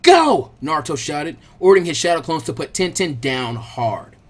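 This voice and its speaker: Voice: mid-range voice, normal speed. A narrator reading an action story aloud as a dramatic, scripted audiobook. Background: background music.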